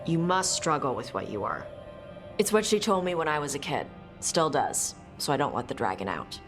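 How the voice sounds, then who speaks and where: An actress playing a blunt, guarded young dragon hunter in a video game, speaking in terse, casual phrases. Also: female voice, sits low, sing-song, normal speed. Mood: troubled, guarded. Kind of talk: video game cutscene acting. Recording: music behind the voice.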